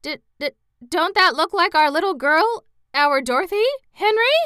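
A woman voicing an elderly farm wife who stammers out high, anxious questions, in a storybook reading. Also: expressive, normal speed, sharp tone, dry recording, clean studio sound, exaggerated voice. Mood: frightened.

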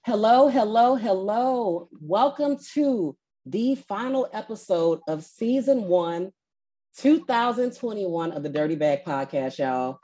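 A woman's voice: mid-range voice, animated, slow, warm tone. Slangy, playful woman podcast host. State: sick, congested. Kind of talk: casual podcast chat.

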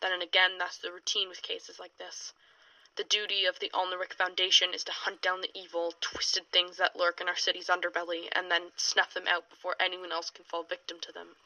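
A woman's voice: on the low side, completely flat delivery, a little fast, sharp tone, no echo, poor audio. A woman playing a hard-boiled, world-weary female paranormal investigator in a 1920s noir audio drama, with wry, slangy delivery. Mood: weary, brooding. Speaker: investigator and narrator. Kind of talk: audio drama, noir-style recorded case statement.

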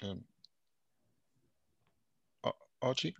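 A man's voice: very slow, dry recording, highs cut off. A man moderating an online Q&A who stumbles and repeats his words.

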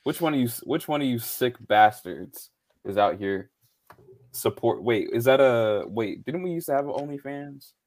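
A man's voice: mid-range voice, lively intonation, normal speed, a little mellow. A man co-hosting a live podcast, chatting casually and loosely, swearing a lot and saying "bro" and "man". He is losing his voice. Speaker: podcast co-host. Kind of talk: casual live-stream chat.

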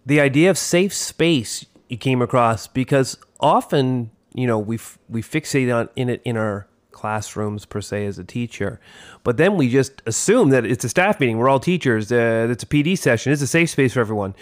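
A man in his forties co-hosting a casual podcast chat, with a big booming theatrical delivery. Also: expressive, normal speed. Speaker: podcast co-host, teacher.

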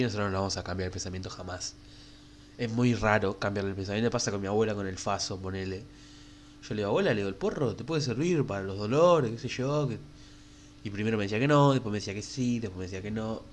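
Young Argentine guy with a bad cold, blocked nose, slightly low voice, rambling crudely through his weekly podcast. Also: slight background noise. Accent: Rioplatense Spanish. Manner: rambling, crude and frank, many fillers. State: stuffy nose from a cold, coughing. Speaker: podcast host. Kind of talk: casual solo podcast monologue.

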